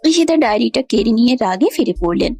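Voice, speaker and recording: A woman's voice: fairly high pitch, lively intonation, fast, gravelly, dark tone, breathy edge. A woman narrating a scripted romantic story.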